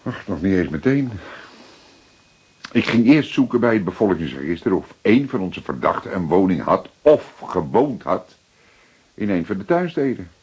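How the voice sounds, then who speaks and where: An actor playing an older, paternal police detective with a Dutch accent, speaking in a deliberate, emphatic way with a husky voice. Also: male voice, low-pitched, very animated, darkish tone, lo-fi sound, heavily acted. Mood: reflective, explanatory. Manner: deliberate, emphatic, repeating words for effect. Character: seasoned male police detective, paternal, methodical, secretive. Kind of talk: dramatic detective dialogue acting.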